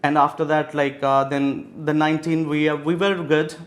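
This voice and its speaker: A man's voice: on the high side, flat delivery, normal speed. A man from Pakistan in his thirties, a business guest answering interview questions with many fillers and restarts. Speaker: real estate executive guest.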